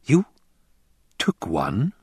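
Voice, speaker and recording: A man's voice: medium pitch, sing-song, a little slow, a little mellow, breathy edge, dry recording, studio quality, pitched above the performer's own voice. A man playing a weary, guarded military man, bitter and terse, in an audiobook story.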